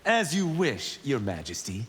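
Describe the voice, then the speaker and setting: An actor voicing a proud, teasing young Shaolin warrior who talks in confident, boastful quips for a fighting video game. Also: male voice, mid-range voice, very animated, unhurried, fairly bright tone, breathy edge, full character voice. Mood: cocky banter. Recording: long hall reverb.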